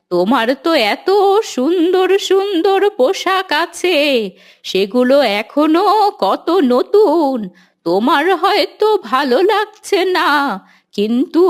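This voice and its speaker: A woman voicing a patient, caring elder of the family who gives gentle advice in a cartoon. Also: extremely high pitch, full character voice. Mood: gently admonishing. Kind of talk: animated Islamic moral story voice acting.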